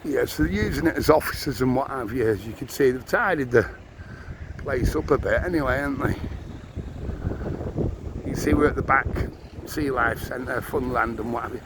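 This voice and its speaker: An older man rambles cheerfully as he walks, pointing things out and poking fun at himself. His voice sits at an ordinary middle pitch but sounds a touch squeaky and strained. Clear outdoor street noise runs under him. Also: moderate pace, pauses often. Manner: chatty, rambling, self-mocking. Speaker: walking vlogger. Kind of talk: casual walking tour commentary. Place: outdoors on busy town streets. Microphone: handheld camera on a gimbal.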